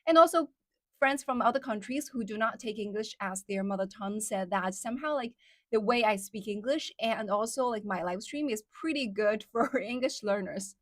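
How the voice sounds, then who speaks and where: A woman's voice: medium pitch, normal speed, a bit bright, dry recording, clean studio sound. A woman whose first language is Chinese, speaking English with an American Midwest accent as a livestream host, chatty and full of fillers like "like" and "somehow". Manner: chatty, many fillers like "like" and "somehow", laughs often. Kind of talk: casual livestream chat with viewers.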